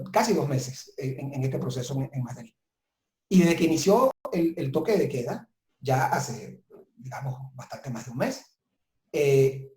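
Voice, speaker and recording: A man with a slightly high, mellow voice gives advice as an interview guest. He speaks with reflective emphasis and repeats words to drive points home. The sound is roomy and echoing. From southern Italy. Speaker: business consultant, guest. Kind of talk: webinar interview, giving advice.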